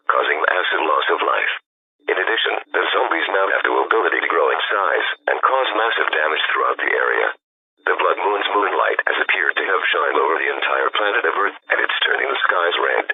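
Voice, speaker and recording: Deep phone-band emergency announcer, voiced by a man. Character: grave male emergency alert announcer warning of apocalypse. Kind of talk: scripted emergency broadcast. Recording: noisy, narrow telephone-like radio broadcast.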